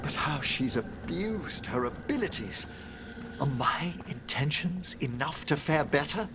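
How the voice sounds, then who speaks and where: An actor playing a gentle, bookish gentleman necromancer in a video game, speaking in a formal, measured, eloquent way. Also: on the high side, lively intonation, background noise. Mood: reflective. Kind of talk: video game dialogue acting.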